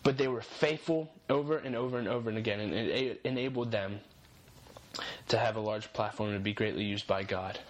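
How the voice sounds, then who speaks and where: A man's voice: on the low side, a little fast, a little hiss or hum, poor audio. Earnest young man giving faith-based encouragement. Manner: earnest, encouraging, repeats key phrases for emphasis. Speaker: podcast host.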